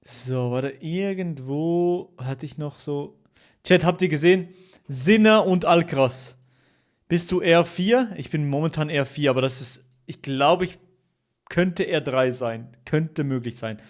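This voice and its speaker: A man's voice: a little high, a little slow. A young man from Switzerland, streaming live and chatting casually with his viewers, jokey, repeating himself and teasing the chat.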